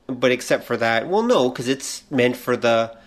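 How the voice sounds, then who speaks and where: A middle-aged man hosting a casual pop-culture podcast, explaining things in a measured, lightly joking way. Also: medium pitch, animated. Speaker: podcast host.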